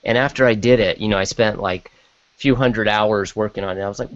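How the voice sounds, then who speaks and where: A man in a casual chat as a podcast guest, talking in a rambling, halting way and often interrupting himself. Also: fairly low pitch, no room sound, dull audio.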